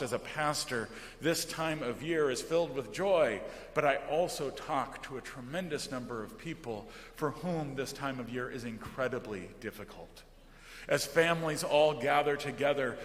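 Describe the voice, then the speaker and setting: An American man preaching a sermon as a pastor, earnest and emphatic, with phrases that often trail off softly. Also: medium pitch. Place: large indoor church during worship. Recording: echoey church hall with long reverb.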